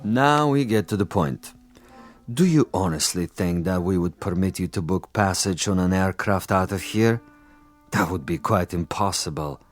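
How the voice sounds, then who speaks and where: An actor playing a suave, menacing commissar in a radio drama, urbane and mocking with elaborately formal diction. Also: male voice, low-pitched, moderate pace, soft background music. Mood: mocking menace. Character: suave, menacing male commissar interrogating a former prisoner.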